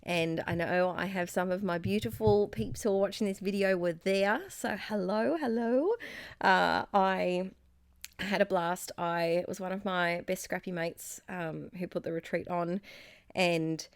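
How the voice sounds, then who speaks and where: An Australian woman in her thirties, slightly croaky, chatting casually and rambling with fillers and giggles as she narrates her scrapbooking. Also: on the low side, fairly even intonation, measured pace, no room sound, clean studio sound. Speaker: scrapbooking YouTuber. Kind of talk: casual crafting voice-over. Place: home craft space.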